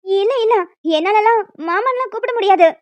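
A woman's voice: very high voice, brisk, a little mellow, no echo. A woman voicing a naive young female college fresher in a cartoon, polite and childlike as she pleads and protests. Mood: protesting. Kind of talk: cartoon story dialogue.

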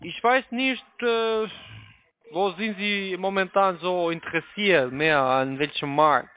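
A man with a foreign accent in non-native German making a polite, halting telephone sales pitch over a phone line. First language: not German. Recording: tinny, narrow phone line.

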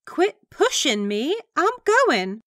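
A high-pitched, sharp-tongued young frontier woman played by an actress in a comic dub. She is bold and lusty, drawing words out slowly with big theatrical swoops. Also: very animated, no echo, clean studio sound, exaggerated voice. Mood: sulky. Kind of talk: comic book fan dub.

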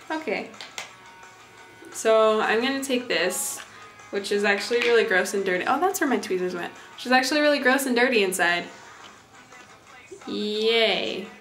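A woman's voice: medium pitch, a bit bright. Young woman doing a casual makeup tutorial, kinda rambly and self-deprecating, echoey room, faint music. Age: young adult. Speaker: vlogger. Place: small bathroom indoors.

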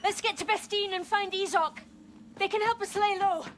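An actress playing a guarded, practical fugitive engineer in a video game, speaking tersely and directly. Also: female voice, very high voice, steady tone, normal speed, heavy background noise. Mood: urgent. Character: woman rebel fugitive, self-reliant engineer, guarded and practical.

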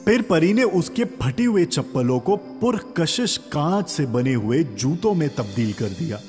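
A man's voice: on the high side, sing-song, a little mellow. A man narrating a scripted fairy tale for children as a storyteller. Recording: long reverb, music underneath.

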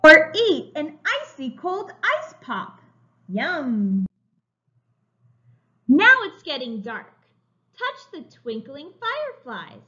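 Animated, high-voiced woman reading to children. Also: takes it slowly, long pauses. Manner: expressive, emphatic, speaking to young children. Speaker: preschool teacher. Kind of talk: children's story read-aloud. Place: room at home, indoors. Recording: slightly dull, lo-fi webcam sound.

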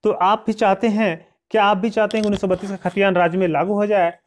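A man's voice: sits high, flat delivery, fast. A man hosts an informal online news update. He addresses viewers directly in an explanatory way, sometimes slipping into reading aloud. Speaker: YouTube channel host.

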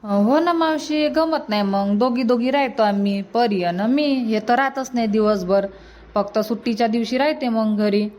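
A woman's voice: on the high side, normal speed, darkish tone, close to an everyday voice. A woman voicing a homely, earnest young married niece in a cartoon comedy, with a Varhadi Marathi accent. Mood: matter-of-fact. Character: homely young married niece visiting her village family. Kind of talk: cartoon comedy dialogue in rural dialect.

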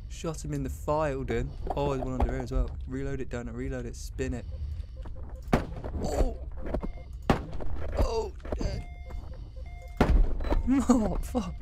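A young man with a British accent, his voice slightly croaky, chats unhurriedly over his gameplay and breaks into loud, excited exclamations. He speaks through a desk or headset mic, with clear noise audible behind him. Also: medium pitch, a little slow, pauses often. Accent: British English. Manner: excitable, slangy, jumps between mutters and loud exclamations. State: slightly croaky after a gig. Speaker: gaming YouTuber. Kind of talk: casual gameplay commentary.

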